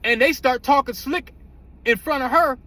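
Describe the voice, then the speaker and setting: Very high-pitched man, blunt and profane. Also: normal speed, bright, forceful tone. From United States. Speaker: commentary host. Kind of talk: casual opinionated commentary.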